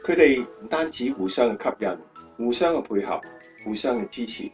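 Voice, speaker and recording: A male actor narrating a life story in a radio drama in expressive Cantonese, as an admiring storyteller. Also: mid-range voice, normal speed, soft background music, natural voice.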